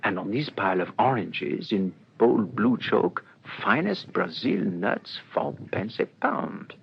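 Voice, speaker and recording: An actor playing a methodical, dryly witty French police inspector in a radio drama, speaking formally and courteously. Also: male voice, fairly low pitch, big pitch swings, slow pace, creaky, full character voice. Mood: musing. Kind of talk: old-fashioned radio drama acting. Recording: dull lo-fi radio drama with noise.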